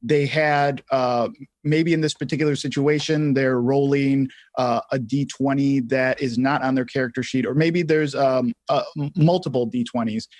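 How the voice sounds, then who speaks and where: A man co-hosting a livestream, giving casual tutorial explanations in halting phrases with some repeats. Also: medium pitch, darkish tone, no echo. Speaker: livestream co-host.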